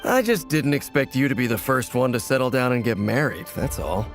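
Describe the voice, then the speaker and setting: A man's voice: medium pitch, animated, brisk, natural voice. A man voicing an easygoing, sociable swordsman in a video game, casually teasing. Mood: teasing, friendly. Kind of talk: dramatic game voice acting. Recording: music under the voice. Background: music.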